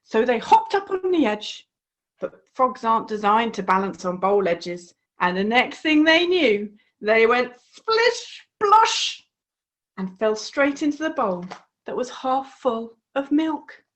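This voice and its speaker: Woman, highish voice, lively intonation, children's read-aloud, expressive, encouraging storyteller. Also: slow pace. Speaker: author reading her own book.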